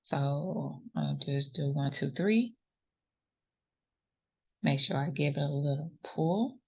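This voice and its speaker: Crochet teacher, woman, deep and slow, counts stitches aloud, slightly sniffly. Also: slightly rough, dull audio. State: sniffly runny nose. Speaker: crochet tutorial host. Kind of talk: step-by-step crafting instruction.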